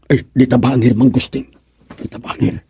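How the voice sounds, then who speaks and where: An actor with an Ilocano accent playing a flustered, lovestruck comic village suitor in a radio comedy, stammering and exclaiming. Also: male voice, mid-range voice, little pitch movement, moderate pace, mellow, breathy edge.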